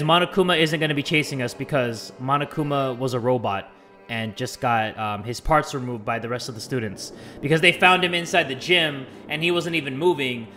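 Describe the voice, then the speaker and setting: A man playing through a story game and talking casually to viewers, his voice hoarse and worn out from recording. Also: on the high side, sharp tone. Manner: chatty, thinks aloud with many fillers. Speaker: gaming video host. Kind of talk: let's play commentary, reading game text aloud.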